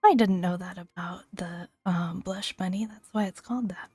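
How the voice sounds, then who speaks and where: A woman speaks in a soft, breathy ASMR voice that often slips toward a whisper. She takes her time, pausing gently between phrases as she reads and adds small asides. The sound is close and very dry, with faint music underneath. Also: fairly low pitch, steady tone, no room sound. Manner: gentle, unhurried, with long pauses between phrases. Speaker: gaming video host. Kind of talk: soft-spoken ASMR, reading game entries aloud with casual asides.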